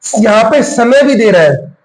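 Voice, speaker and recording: A man leads a casual step-by-step tutorial. His voice sits quite high for a man. He explains each step and slips into English terms, and the room adds a clear echo around him. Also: animated, a little slow. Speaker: tutorial host. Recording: roomy, echoing voice recording.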